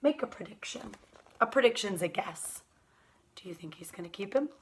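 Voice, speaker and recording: A woman reading a children's picture book aloud at storytime, expressive and drawn out, putting questions to her young listeners. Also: medium pitch, moderate pace, slight background noise.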